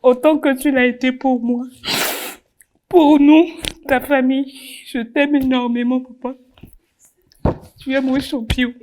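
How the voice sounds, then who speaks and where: A woman's voice: high voice, fairly even intonation, a little mellow. A woman pageant candidate reading a heartfelt letter aloud, solemn and tearful. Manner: slow, solemn, emotional reading.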